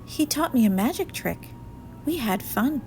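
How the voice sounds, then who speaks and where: Woman voicing an earnest young schoolboy, lifted pitch. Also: expressive, unhurried, darkish tone. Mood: polite. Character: earnest young schoolboy, polite and easily troubled. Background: music.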